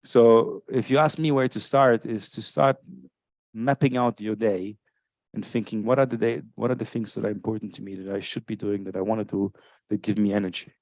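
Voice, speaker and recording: Low-voiced man explaining to an audience. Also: level tone, fairly quick, a little mellow, no room sound. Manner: thoughtful, explanatory, often tags with "right?". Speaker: author answering audience questions. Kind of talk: live book launch Q&A. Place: live event space with audience.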